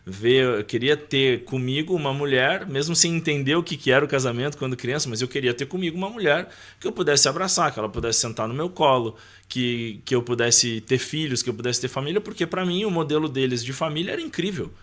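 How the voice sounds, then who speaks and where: A man's voice: medium pitch, fast, few pauses, fairly bright tone. A man with a Brazilian accent speaking as a podcast guest, sharing personal faith and life in an informal, conversational way, often checking for agreement.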